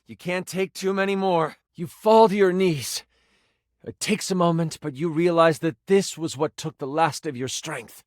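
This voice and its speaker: An actor playing an excitable, encouraging male game master who narrates tabletop fantasy with theatrical, geeky eagerness. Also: a little high, normal speed, dry recording, studio quality, natural voice. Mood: dramatic narrating. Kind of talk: dramatic tabletop fantasy narration with playful asides.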